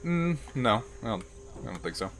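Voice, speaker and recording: Bright-voiced man running a tabletop game. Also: mid-range voice, very animated, moderate pace, a little hiss or hum. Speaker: game master.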